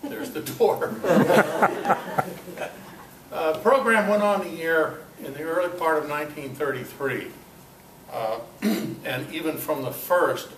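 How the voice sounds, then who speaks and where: An older man giving an informal, halting talk to a club, with a self-deprecating, dryly humorous manner. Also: a little high, fairly bright tone. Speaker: guest speaker at a club meeting. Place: club meeting room, indoors. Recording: roomy, distant mic, noisy amateur recording.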